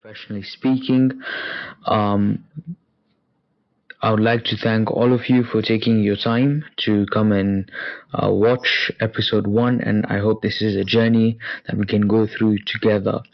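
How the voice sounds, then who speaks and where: Low gravelly man hosting a podcast. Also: level tone, a little slow, dry recording. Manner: hesitant, many fillers. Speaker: podcast host. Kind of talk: hosting a career podcast interview. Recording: dull lo-fi sound.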